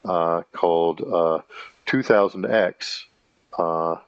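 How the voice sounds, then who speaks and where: A man in his fifties with a very low voice talks in a loose, halting monologue, stopping often with fillers and correcting himself. The sound is dry and a bit muffled. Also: clean tone, dry recording. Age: 50s. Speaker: channel host. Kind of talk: casual rambling monologue.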